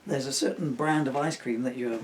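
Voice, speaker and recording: A man's voice: medium pitch, fairly even intonation, brisk, a little hiss or hum. A middle-aged man reading a children's story poem in a theatrical, jokey way, with chatty asides. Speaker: storybook reader and author.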